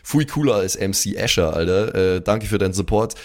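A man's voice: sits low, animated, a little fast, darkish tone. A man hosting a podcast, casually reading out thanks to supporters with jokey, slangy asides. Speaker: podcast host. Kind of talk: casual supporter thank-you roll call.

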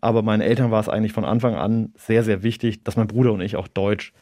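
A man in his thirties, a native Arabic speaker from Germany, answering interview questions in a measured, reflective way with an occasional chuckle. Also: on the low side, steady tone, warm tone, dry recording, clean studio sound. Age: 30s. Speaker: interview guest, local politician.

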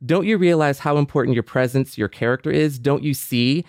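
A man's voice: medium pitch, a little fast, a little mellow, dry recording. Middle-aged man, American accent, casual panel talk, storytelling, dry studio-tight sound. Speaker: podcast panelist and Star Trek expert. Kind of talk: casual discussion and anecdote telling.

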